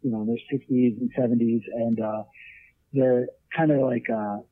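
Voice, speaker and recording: A man's voice: medium pitch, fairly even intonation, normal speed, no room sound. A man in his late thirties talking casually in an interview, rambling with many restarts and fillers. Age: 30s. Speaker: leatherwork artist guest. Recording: tinny phone line.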